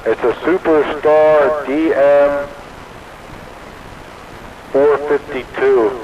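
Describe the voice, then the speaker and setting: A man's voice: a little high, fairly even intonation, drawn-out words. A man talking casually as an operator over a CB radio link. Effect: two-way CB radio transmission. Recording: muffled, noisy CB radio signal.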